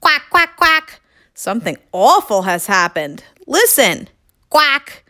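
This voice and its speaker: A woman's voice: fairly high pitch, very animated, takes it slowly, sharp tone, dry recording, studio quality, voice pushed up, exaggerated voice. A woman voicing a distressed, complaining cow in a children's storybook, with dramatic delivery. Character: distressed cow stuck quacking like a duck.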